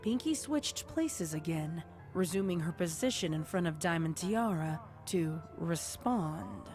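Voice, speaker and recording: A woman reading scripted story narration as the narrator of a dark tale. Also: lowish voice, animated, a little slow. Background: music.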